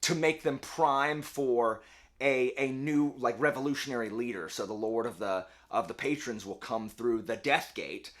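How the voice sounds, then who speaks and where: A man hosting a casual book video, chatty and rambling, often correcting himself, his voice a little hoarse. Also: medium pitch, moderate pace, a bit bright. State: slightly hoarse. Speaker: book channel host.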